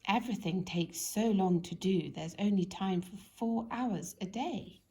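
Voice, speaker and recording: A woman narrates a children's bedtime story in a medium-pitched voice with a noticeable creak. She reads expressively and gently, drawing words out and sinking into a hushed tone. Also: measured pace. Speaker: storybook reader.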